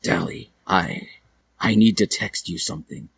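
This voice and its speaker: A man voicing a sulky, self-important teenage boy with a smooth-talking, flat manner in a story reading. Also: sits low, a little slow, background noise. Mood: earnest.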